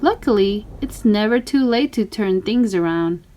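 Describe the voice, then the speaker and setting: A woman's voice: mid-range voice, lively intonation, a little slow, warm tone. A woman reading a children's story aloud, expressively acting out characters and sound words. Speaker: storybook reader.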